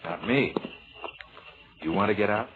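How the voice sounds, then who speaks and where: A man's voice: lowish voice, unhurried, slight background noise, close to an everyday voice. A man playing an easygoing, gallant young sailor with a casual manner in a 1940s radio romance. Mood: romantic. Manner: casual, friendly, plain-spoken. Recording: thin, narrow-band vintage radio recording.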